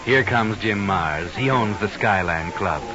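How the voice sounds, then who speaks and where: Creepy all-knowing radio mystery narrator, low ominous voice, loud music under it, old broadcast. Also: male voice, expressive, a little slow. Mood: brooding, knowing. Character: sinister all-knowing male narrator who walks by night.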